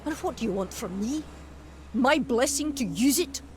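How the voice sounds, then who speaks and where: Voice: mid-range voice, lively intonation, moderate pace, darkish tone, natural voice. An actor plays a haughty, crusty master from a fantasy video game. The Scottish accent comes with a pompous, chiding manner. There is faint noise behind the voice. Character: haughty, crusty master who scolds in dialect. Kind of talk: dramatic video game acting.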